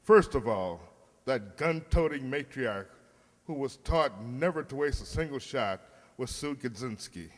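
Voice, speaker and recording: A man announcing a radio play from a script in a wry, theatrical, measured manner. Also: medium pitch, expressive. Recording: hall reverb, faint noise.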